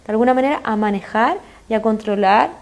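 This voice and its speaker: A woman's voice: on the high side, a little fast. A woman with a Chilean accent, a guest expert in an interview, explaining earnestly with frequent fillers. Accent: Chilean Spanish.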